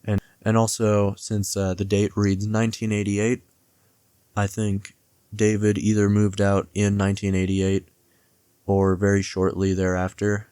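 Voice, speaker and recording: A man's voice: lowish voice, completely flat delivery, measured pace, warm tone, clean tone, no room sound. A man giving casual video commentary, hesitant and rambling, often correcting himself mid-thought. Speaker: video essayist reviewing and theorizing about a horror series. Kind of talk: casual, loosely scripted commentary.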